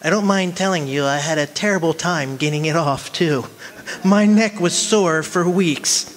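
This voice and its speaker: A man playing a meek, henpecked little husband, timid and prim with drawn-out words, in old-time radio comedy style. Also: on the high side, close to an everyday voice. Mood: meek, chipper.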